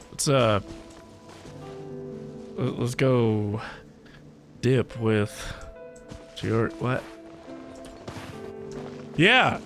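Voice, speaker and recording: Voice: medium pitch, sing-song, drawn-out words, long pauses, fairly bright tone. A livestreamer reacting casually to a game, with hesitant, wry asides. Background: game music.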